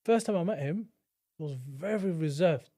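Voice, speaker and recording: A man's voice: a little high, lively intonation, normal speed, dark tone. A man co-hosting a podcast who talks casually, full of slang and frequent "bro"s.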